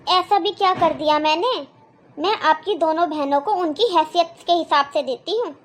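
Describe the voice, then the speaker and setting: A woman's voice: very high-pitched, normal speed. A woman voicing a defensive, self-justifying wife answering her husband in a cartoon moral story.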